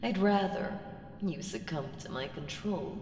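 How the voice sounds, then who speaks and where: A woman playing an ancient evil entity in an ASMR audio roleplay, seductive, menacing and playfully condescending, with teasing, drawn-out murmurs that slip into whispers. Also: lowish voice, a little mellow, slight background noise, natural voice. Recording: echoing reverb with music underneath. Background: music.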